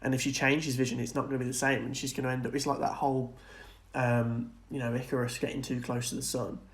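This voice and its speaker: A man's voice: medium pitch, flat delivery, quick pace. A man co-hosting a podcast, chatting casually and earnestly as he speculates about film and TV. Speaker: podcast co-host.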